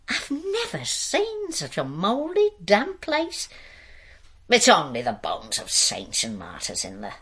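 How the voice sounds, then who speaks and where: A disapproving parish gossip in a radio play. She is husky and theatrical, musing wryly with huge swoops in pitch. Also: huge pitch swings, takes it slowly, pauses often, fairly bright tone, exaggerated voice. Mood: wry dismay. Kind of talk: radio drama acting.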